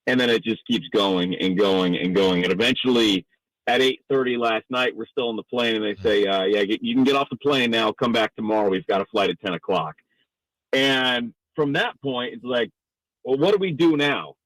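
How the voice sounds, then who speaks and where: A man's voice: mid-range voice, moderate pace, fairly bright tone, no room sound, low-quality recording. A man from the Northeastern United States, a sports announcer, talking conversationally and wordily as a radio interview guest.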